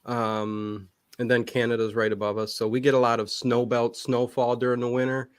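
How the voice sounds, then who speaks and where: A man's voice: medium pitch, completely flat delivery, normal speed, smooth, no room sound. Midwest american guy, guest on a chat stream, casual slangy talk, repeats himself, dry sound. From Cleveland, Ohio, United States. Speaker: guest, horror show streamer.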